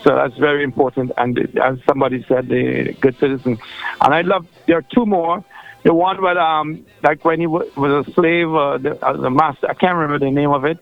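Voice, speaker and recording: A man's voice: on the high side, little pitch movement, a little fast, vocal fry. A man with a Trinidadian accent calling in to a radio show, talking in a rambling, chatty way with lots of fillers and restarts. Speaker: radio caller. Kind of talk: casual phone-in chat. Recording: dull lo-fi phone line.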